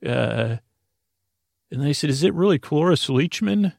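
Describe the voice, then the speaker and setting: A man with a creaky, gravelly voice hosts a bedtime podcast, recorded close and dry. He meanders through his monologue in halting phrases, stumbling over words and breaking off to correct himself. The delivery is droning and low-stakes. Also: animated, darkish tone, no echo. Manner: halting, meandering, self-interrupting, often stammers over words. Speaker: podcast host.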